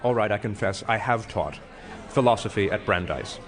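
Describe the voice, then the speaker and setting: An actor playing a pompous, self-dramatizing retired philosophy professor in a stage comedy, speaking in wordy, self-mocking speeches. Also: male voice, fairly low pitch, normal speed, soft background music, natural voice. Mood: confessional, wistful. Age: older. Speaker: actor in an audio play.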